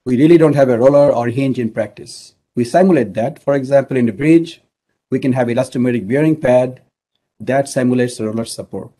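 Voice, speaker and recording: A middle-aged man from Bangladesh, an engineering professor, giving an online lecture in a measured way with many fillers. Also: medium pitch, mellow.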